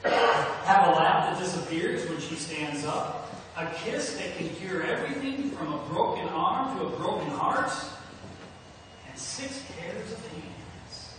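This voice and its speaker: A middle-aged man, a pastor, preaching a sermon in a measured, deliberate way with pauses. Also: high-pitched, animated. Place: indoors at a church pulpit. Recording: echoey hall, distant mic, noisy background.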